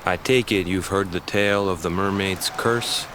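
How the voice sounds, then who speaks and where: A man's voice: deep voice, fairly even intonation, normal speed, background noise, natural voice. An actor playing an earnest, easygoing young island fisherman in a video game, talking in a colloquial storytelling way. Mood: defensive, wary. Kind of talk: video game character acting. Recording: music behind the voice.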